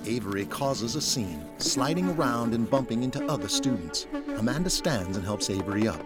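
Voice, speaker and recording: A man's voice: medium pitch, big pitch swings, moderate pace, loud music behind the voice. A man narrating an audio drama in a scripted, dramatic present-tense style.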